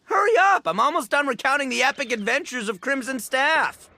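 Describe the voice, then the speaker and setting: Boastful, rowdy young gang boss, wildly animated. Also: male voice, very high-pitched, brisk, slight background noise, heavily acted. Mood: grandly boastful. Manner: loud, swaggering, slangy, theatrical. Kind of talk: video game dialogue. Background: game music.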